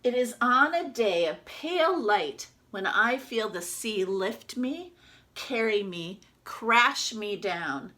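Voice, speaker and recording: A woman reader plays a storybook bathtub duck, wistful and earnest. The voice is bright and lilting, and it takes its time over every phrase with dreamy wonder. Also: on the high side, animated, slow pace, full character voice. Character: wistful, wonder-filled bathtub toy duck telling its tale.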